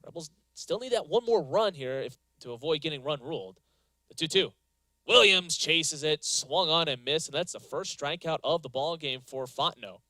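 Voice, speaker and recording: A male sports announcer gives live softball commentary in a bright, forceful voice pitched a little high. He sets out counts and statistics in a measured way and calls the plays as they come. The sound is close and dry. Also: animated, dry recording, rough recording. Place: live broadcast from a softball stadium.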